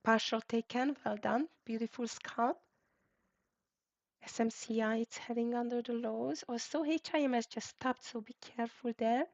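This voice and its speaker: A woman's voice: fairly high pitch, steady tone, moderate pace, vocal fry, a little mellow. A woman with a Hungarian accent, hosting a live trading stream and calling out stock tickers in clipped bursts with fillers. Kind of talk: live market commentary. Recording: dull lo-fi stream audio.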